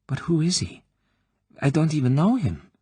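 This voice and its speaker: A man's voice: fairly low pitch, expressive, moderate pace, gravelly, darkish tone, slightly rough, no echo, exaggerated voice. A man voicing a frightened young female Soviet corporal who answers in a timid, hesitant, obedient way.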